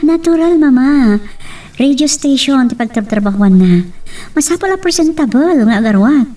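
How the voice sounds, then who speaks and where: A woman's voice: high-pitched, expressive, moderate pace, mellow, recorded from a distance. A woman with an Ilocano accent playing an affectionate young sweetheart and daughter in a romance radio drama. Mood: reassuring.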